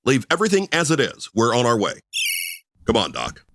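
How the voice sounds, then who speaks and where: A man's voice: mid-range voice, wildly swooping pitch, fairly quick, a bit bright, dry recording, clean studio sound. A man playing a stern, commanding starship officer in a sci-fi radio drama parody, clipped and authoritative. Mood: grave, brisk.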